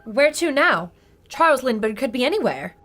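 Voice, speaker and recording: A woman's voice: high voice, sing-song, normal speed, fairly bright tone, faint music behind, exaggerated voice. A woman playing an inquisitive, practical newspaper reporter. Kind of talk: radio play acting.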